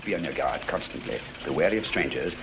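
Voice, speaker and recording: An actor playing a brisk, commanding English gentleman detective, crisp and clipped, with a British accent in 1940s film style. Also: extremely low pitch, animated, heavily acted. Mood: urgent, confiding. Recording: noisy 1940s film soundtrack.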